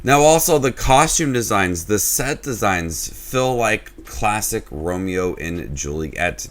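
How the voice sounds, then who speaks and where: A young man hosting his own movie review channel, talking casually in a low, flat way that he says can sound unenthusiastic. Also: a little hiss or hum.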